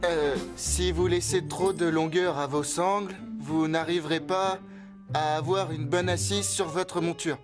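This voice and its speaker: A woman's voice: fairly low pitch, steady tone, measured pace, music in the background, lightly acted. A woman playing an easygoing teenage ranch boy in a French cartoon dub, casual and laid-back. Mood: friendly.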